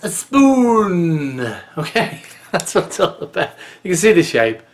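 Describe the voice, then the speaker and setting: A man's voice: high-pitched, sing-song, a little slow. Chatty man in his fifties. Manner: chatty, folksy, mutters while carving. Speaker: bushcraft channel host. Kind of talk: casual webcam tutorial. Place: home office indoors. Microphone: webcam on desk.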